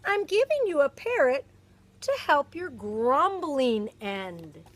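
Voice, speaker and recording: A woman doing a wise gentle pirate queen. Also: high voice, big pitch swings, slow, a little hiss or hum, voice pushed up, exaggerated voice.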